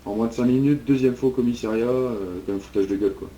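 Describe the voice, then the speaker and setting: Mellow-voiced, sardonic police officer played by a man. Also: medium pitch, quick pace, muffled recording, lightly acted. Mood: mocking, official. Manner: sardonic, repeats himself, vulgar. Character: sarcastic, mocking male police officer handing out penalties. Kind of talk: improvised online game roleplay. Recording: clearly noisy game voice chat.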